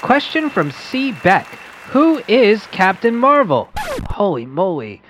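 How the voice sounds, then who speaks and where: A woman's voice: mid-range voice, sing-song, takes it slowly, slight background noise. A woman playing an eager, wholesome young boy radio host in an old-fashioned radio play reading. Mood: eager, cheerful.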